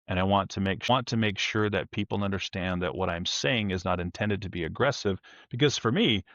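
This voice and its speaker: Man, very low deep voice, loud, rambling, self-correcting, joking, dry sound. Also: no room sound, highs cut off. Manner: louder, forceful speaking tone. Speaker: podcast guest. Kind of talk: candid conversational talk.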